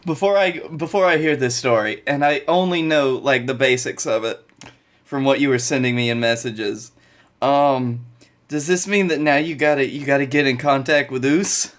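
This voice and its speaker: A man's voice: mid-range voice, moderate pace. A young man co-hosting a wrestling podcast, chatting casually and playfully. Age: young adult. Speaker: podcast co-host. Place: bedroom at home, on a video call.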